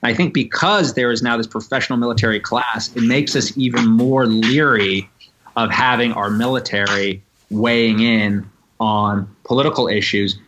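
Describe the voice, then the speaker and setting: A man answering interview questions as a guest, thoughtful and measured, with many "you know" fillers. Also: medium pitch, steady tone, a bit bright, dull audio, slight background noise. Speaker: podcast guest, novelist and former Marine.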